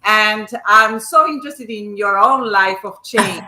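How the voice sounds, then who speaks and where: A woman's voice: mid-range voice, unhurried, bright, forceful tone, reverberant. A woman hosting an interview, politely asking long, winding questions. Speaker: interview host. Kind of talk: interview over video call.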